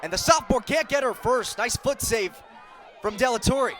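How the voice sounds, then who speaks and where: A man's voice: very high voice, lively intonation, normal speed, fairly bright tone, shouting, a faint crowd. A man in his twenties delivering sports broadcast narration and commentary as a reporter and announcer. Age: 20s.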